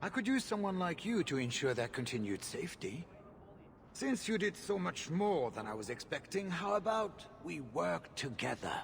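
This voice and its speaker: An actor playing a shrewd, smooth-talking mine owner in video game cutscene dialogue. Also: male voice, on the high side, very animated, moderate pace, a little hiss or hum. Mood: businesslike. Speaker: game character.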